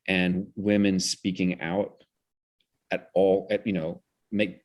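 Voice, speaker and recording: A man with a low voice answers in an interview. He is articulate and analytical, building his explanation in measured phrases. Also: gravelly, a little mellow. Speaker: guest, theater director.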